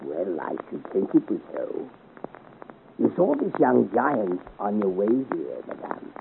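Sly creaky old scoundrel, oily and theatrical, tinny crackly vintage radio drama. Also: male voice, lowish voice, wildly swooping pitch, takes it slowly, full character voice. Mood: obliging. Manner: obsequious, theatrical. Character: sly, fawning old rogue selling his services. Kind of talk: 1950s radio serial acting. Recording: thin, noisy old radio recording.

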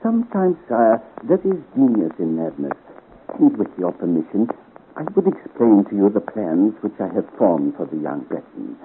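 Scheming low-voiced duke, old radio. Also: male voice, very animated. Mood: confident, sly. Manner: courtly, deliberate, persuasive, with calculated hesitations. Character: smooth, scheming French duke, deferential courtier. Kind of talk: 1950s radio serial acting. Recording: scratchy, tinny 1950 radio serial recording.

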